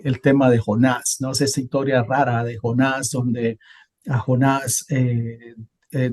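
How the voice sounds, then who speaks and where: Husky Honduran man, easygoing co-host. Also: mid-range voice. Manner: relaxed, thinking aloud with many hesitations. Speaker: podcast co-host. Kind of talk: casual conversational talk about Bible passages.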